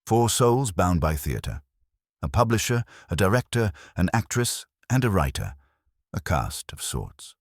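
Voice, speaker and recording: A man voicing a shrewd, commanding Victorian detective in an audiobook, deliberate, probing and terse. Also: sits low, very animated, no room sound, clean studio sound, voice pushed up, exaggerated voice. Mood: pensive, commanding. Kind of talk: audiobook character dialogue.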